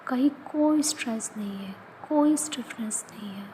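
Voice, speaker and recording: A woman leading a guided yoga nidra relaxation, speaking in soft, often whispered instructions. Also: on the high side, normal speed, a little hiss or hum. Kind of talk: guided meditation.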